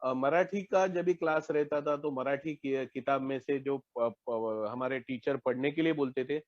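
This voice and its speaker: A Marathi man from Maharashtra, giving a candid, reflective personal testimony at an online support meeting, with hesitant pauses and restarts. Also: medium pitch, darkish tone, dry recording, dull audio. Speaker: recovering member sharing at a support meeting.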